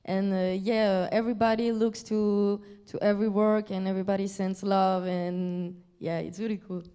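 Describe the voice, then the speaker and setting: A woman from Belgium whose first language is French, speaking English with a French accent. She is a guest singer answering audience questions at a live Q&A, and her English is halting and searching, with many fillers. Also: medium pitch, level tone, mellow, clear, resonant tone.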